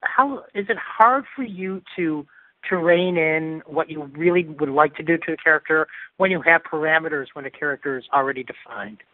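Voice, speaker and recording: A man's voice: on the high side, moderate pace, dry recording. A man hosting an interview, asking long rambling questions. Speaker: interviewer host. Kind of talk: phone interview. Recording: dry tinny phone line.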